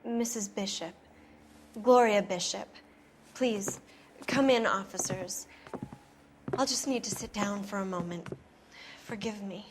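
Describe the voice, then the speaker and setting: An actress playing an imperious, scheming wife in a video game, dramatic and demanding. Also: female voice, mid-range voice, a little slow, pauses often, noisy background, lightly acted. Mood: shaken, theatrical. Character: imperious, scheming married woman who plays the distressed victim.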